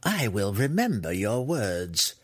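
A man voicing an imperious, scheming, cruel dark elf matriarch in a fantasy audiobook, condescending and wry, drawing out the words. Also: lowish voice, huge pitch swings, slightly rough, no echo, exaggerated voice. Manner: condescending, wry, drawn-out delivery.

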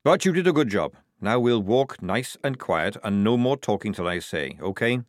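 A man's voice: fairly low pitch, expressive, moderate pace, dry recording, close to an everyday voice. Guy doing a big fat growly army sergeant for an audiobook, crafty and bossy, close dry sound. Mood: brisk, commanding. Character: gruff, cunning fat army sergeant, sly and brash.

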